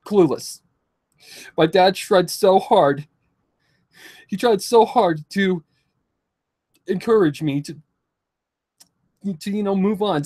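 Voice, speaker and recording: Distressed young man, higher-pitched voice. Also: a little mellow. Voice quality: slightly affected speech. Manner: halting, repetitive, pleading, swearing. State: distressed, tearful. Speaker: vlogger. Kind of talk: emotional confessional talk to followers.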